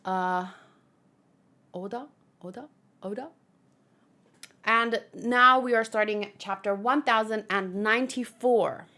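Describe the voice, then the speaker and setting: A woman's voice: fairly high pitch, slow, long pauses, pushed and bright. A woman hosting a manga read-along, chatting in a rambling, informal way and swearing casually. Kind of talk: casual fan chat mixed with animated manga read-aloud.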